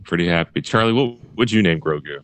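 A man hosting a casual podcast with friends, his voice deep and rough from a cold, laid-back and quick to laugh. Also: lively intonation, fairly bright tone, dry recording. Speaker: podcast host.

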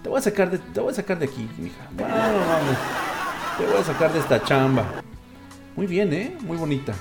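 Man, Mexican accent, slightly high voice, casual show host, slangy and joking, music in the background. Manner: crude, slangy, jokey, laughs often. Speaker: host of a live listener-call-in style online show. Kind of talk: casual chat, reading listeners' messages and stories aloud.

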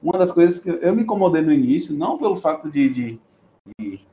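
Bahian man chatting with halting restarts. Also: fairly high pitch, big pitch swings, warm tone, slight background noise, rough recording. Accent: Bahian Portuguese. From Bahia, Brazil. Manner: hesitant, many restarts and fillers. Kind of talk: casual conversation.

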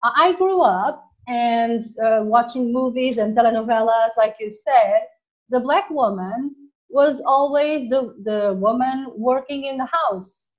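Host with a Portuguese accent, a woman, earnest and emphatic in conversation, higher voice, muffled recording. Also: measured pace. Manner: earnest, emphatic, searches for words. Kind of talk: casual conversation.

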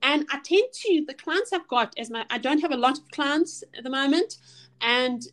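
A middle-aged woman with a South African accent talks about herself as a podcast guest. Her voice sits high. She speaks earnestly and chattily, often restarting her sentences. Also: animated, normal speed, a bit bright. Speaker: guest, personal trainer and motivational speaker. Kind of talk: casual podcast interview.

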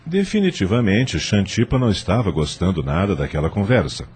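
A man's voice: on the low side, wildly swooping pitch, a little fast, a little mellow, slightly rough. A man narrating a radio soap in a dramatic, scripted storytelling style. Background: music.

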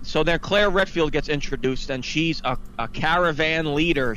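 A man's voice: on the high side, fairly bright tone, low-quality recording. A man hosting a podcast, giving a casual, opinionated and emphatic film review that turns into an exasperated rant. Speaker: podcast host. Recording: faint background noise.

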